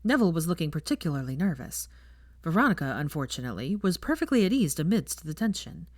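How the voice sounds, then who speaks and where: A woman narrates fiction in a slightly low voice. Her delivery has lively, varied pitch, and the sound is dry and close. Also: fast talker, no room sound. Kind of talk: scripted story narration.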